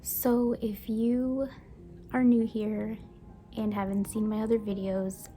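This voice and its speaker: A young woman from Brazil whose first language is Portuguese, chatting gently in a casual book review vlog and sometimes dropping to a soft whisper. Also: a little high, level tone, slow. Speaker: book vlogger. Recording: music playing behind the voice.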